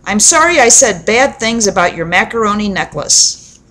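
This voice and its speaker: A woman's voice: medium pitch, animated, moderate pace, pushed and bright. A woman voicing a candid, slightly proud young boy in a children's storybook, with plain earnest delivery. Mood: satisfied, apologetic.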